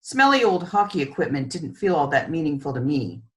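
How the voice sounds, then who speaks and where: Expressive woman reading a children's story. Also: low-pitched, brisk. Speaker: children's book author reading aloud.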